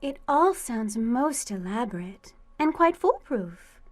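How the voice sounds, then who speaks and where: A woman's voice: on the high side, sing-song, slow. A woman playing a sly, flirtatious lady cat burglar in a radio suspense drama, with a coy, teasing manner. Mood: teasing, curious.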